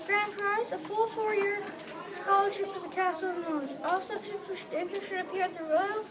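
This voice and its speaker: A woman's voice: very high voice, steady tone, a little fast. A female reader playing an eager, studious young daughter in a readers theatre play. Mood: excited. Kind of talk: readers theatre, reading from a script. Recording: distant mic, loud noisy room chatter.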